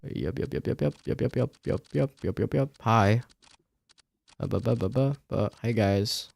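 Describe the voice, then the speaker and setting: A man's voice: lowish voice, moderate pace, creaky, darkish tone, dry recording. A man commentating casually to himself as he streams a visual novel and reads game text aloud.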